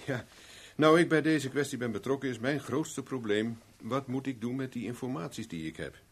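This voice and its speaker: An actor playing a self-assured, probing private investigator with dry irony in a 1970s radio drama. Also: male voice, medium pitch, moderate pace, slightly rough. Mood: dry, sly.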